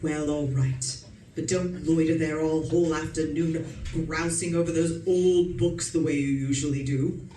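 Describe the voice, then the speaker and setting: A woman's voice: deep voice, steady tone, measured pace, warm tone. A woman playing a bossy, scolding middle-aged wife in an old-time radio suspense play. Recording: roomy, distant mic, faint noise.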